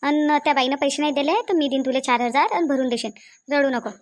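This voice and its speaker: A sensible, protective village sister-in-law, played by a woman, high in pitch. She reasons insistently in a rural Vidarbha Marathi accent, as in a homemade family drama. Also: fast talker, close to an everyday voice. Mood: reassuring.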